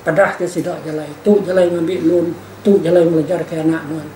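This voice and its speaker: A man's voice: fairly high pitch, flat delivery, brisk, warm tone. An older man, speaking informally and emphatically as an interviewee, often repeating himself and adding questioning tags. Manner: emphatic, repetitive, with rhetorical tag questions. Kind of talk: informal spoken reflection. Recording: roomy echo, faint background noise.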